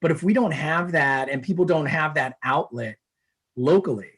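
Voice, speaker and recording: A man's voice: on the high side, moderate pace, fairly bright tone, breathy edge. A man from New Hampshire, a business executive answering interview questions in an earnest, upbeat way with many "you know"s. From New Hampshire, USA.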